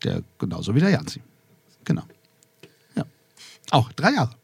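A man's voice: medium pitch, huge pitch swings, moderate pace, vocal fry, husky, no room sound. A man from Germany hosting a live podcast in front of an audience, chatting playfully and drifting off topic. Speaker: podcast host. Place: festival recording room with a live audience.

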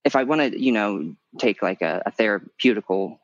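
A man in his thirties from Missouri with a light, higher voice, talking candidly as an interview guest and often swooping up in pitch when animated. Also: normal speed, no room sound, lo-fi sound. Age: 30s. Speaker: podcast guest, memoir author.

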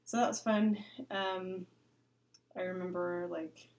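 A woman's voice: on the low side, steady tone, slow pace, a little mellow, slight background noise. Guest: woman from Texas, 20s, giggly and chatty, roomy echo with faint ambience. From Texas, USA. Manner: giggly, chatty, many fillers. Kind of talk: casual interview chat. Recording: echoey room, faint ambience.

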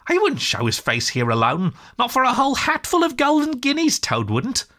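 A man voicing a kindly, brisk and plucky male water rat in a storybook, chatty and reassuring. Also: very high voice, wildly swooping pitch, pushed and bright, pitched above the performer's own voice, exaggerated voice. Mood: amused scorn. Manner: chatty, reassuring, old-fashioned British turns of phrase. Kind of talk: storybook character voice.

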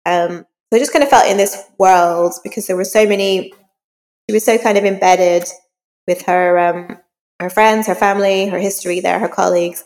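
A woman's voice: medium pitch, fairly even intonation, moderate pace, a little mellow. A woman novelist being interviewed, explaining her craft in an informal, conversational way.

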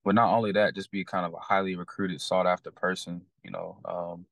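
A man's voice: low voice, little pitch movement, brisk, creaky, no echo. A young man from Texas with a Texan accent, a podcast guest telling his story casually, with many fillers and restarts. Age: young adult.

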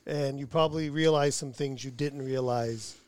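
A man's voice: medium pitch, fairly even intonation, normal speed, no room sound. Chatty man in his forties, dry sound. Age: 40s. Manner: rambling, self-correcting, friendly questioning. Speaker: podcast host and dentist. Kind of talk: casual interview chat.

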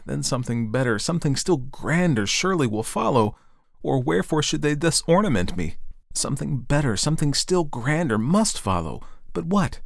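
A man's voice: medium pitch, vocal fry, no room sound, studio quality, voice pushed up. A man voicing a restless young fir tree in a storybook, asking wistful, pleading questions in a raised voice.

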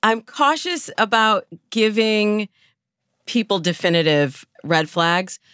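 A woman's voice: mid-range voice, expressive, slow, fairly bright tone, clean tone, no room sound, clean studio sound. A woman speaking as a dating coach and interview guest, explaining her advice conversationally with illustrative examples.

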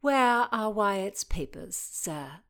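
A woman voicing a resolute, sharp-witted noblewoman in a historical story, formal and commanding in manner. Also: mid-range voice, animated, extremely slow, exaggerated voice. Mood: firm, wry. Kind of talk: dramatized historical fiction reading.

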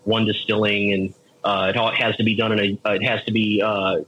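A man's voice: fairly low pitch, little pitch movement, a little fast, fairly bright tone, muffled recording, slight background noise. A man joining a podcast as a guest, explaining whiskey history with many hesitations. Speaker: guest, club president. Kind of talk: casual chat.

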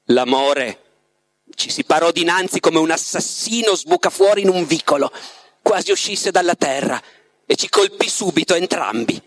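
A man's voice: on the high side, animated, moderate pace, fairly bright tone, dry recording. A man voicing a lovestruck, tormented writer confiding his story in a dramatic literary reading, turning to his listener with rhetorical questions. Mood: intense. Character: lovestruck, tormented male writer in a psychiatric clinic.

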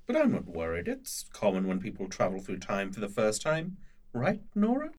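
A man playing a brisk, secretive scientist who explains things in a controlled, persuasive way in an audio drama. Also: lowish voice, huge pitch swings, a little mellow. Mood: reassuring. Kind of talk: naturalistic audio drama acting.

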